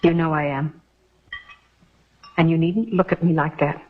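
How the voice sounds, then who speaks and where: Wounded young frontier woman, lowish voice, guarded, earnest, dull vintage audio, prominent music. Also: fairly even intonation, a little slow. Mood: defensive. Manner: earnest, defensive. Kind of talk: vintage Western melodrama acting.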